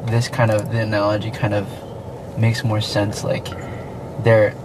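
Soft-spoken laughing podcast host, a man. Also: lowish voice, completely flat delivery, measured pace, background noise. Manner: hesitant, laughing, trailing off. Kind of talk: casual faith chat.

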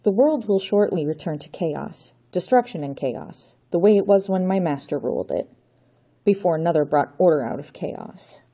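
A woman's voice: lowish voice, expressive, moderate pace, mellow, slightly rough. Woman doing a grandiose villainous count. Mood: menacing. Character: scheming aristocratic male villain count, grandiose.

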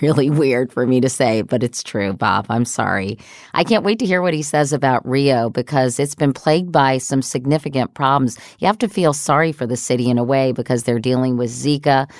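A woman's voice: extremely low pitch, no echo, studio quality. A woman in her fifties hosting a podcast, chatty and self-deprecating. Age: 50s. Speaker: podcast host. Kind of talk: casual chat.

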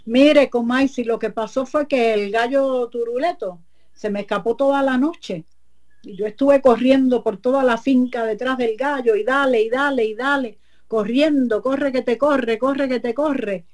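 A sheepish husband, played by a woman storyteller, rambles excuses with a Puerto Rican accent. The voice runs higher than her plain telling, soft and mellow. He piles on repetitions to sound convincing. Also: measured pace, lightly acted.

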